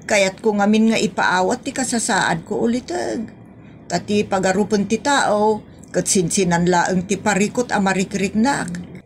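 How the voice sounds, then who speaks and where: A woman acting a plaintive, talkative Filipino woman in a comedy audio drama, with an Ilocano accent and drawn-out way of explaining. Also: medium pitch, slight background noise, natural voice. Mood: pleading.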